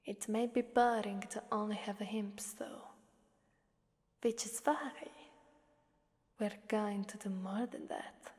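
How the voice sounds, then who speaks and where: A woman from Italy playing a sadistic, playful female demon in a whispered ASMR roleplay, teasing and menacing in a soft, breathy voice. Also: mid-range voice, no echo. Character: sadistic, playful female demon mistress who relishes blood.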